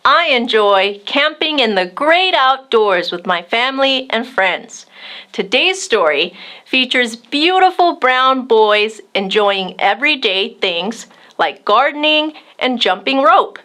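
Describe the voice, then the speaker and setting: A woman hosting a children's storytime, reading a picture book aloud in an expressive, measured way addressed to young listeners. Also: a little high.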